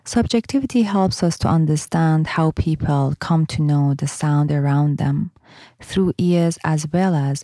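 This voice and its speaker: Low, mellow woman narrating calmly. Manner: measured, reflective, posing questions to listeners. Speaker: documentary narrator. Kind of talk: scripted academic narration.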